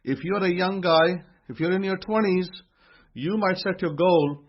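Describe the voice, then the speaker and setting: Male teacher, husky and a bit high-pitched, repetitive and emphatic, dull lo-fi sound. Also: unhurried. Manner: emphatic, repeats phrases while building a point. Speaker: religious teacher. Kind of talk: motivational religious talk. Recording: dull lo-fi recording.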